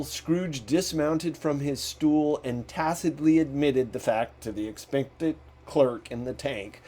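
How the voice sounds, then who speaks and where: Unhurried, mellow male storybook narrator. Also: fairly high pitch. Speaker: audiobook narrator.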